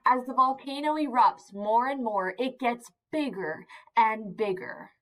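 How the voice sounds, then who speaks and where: Slow-paced woman teaching young children. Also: a little high, rough recording. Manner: encouraging, asks questions and pauses for answers; expressive, simple child-directed delivery with emphasized words. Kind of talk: interactive read-aloud lesson for young children.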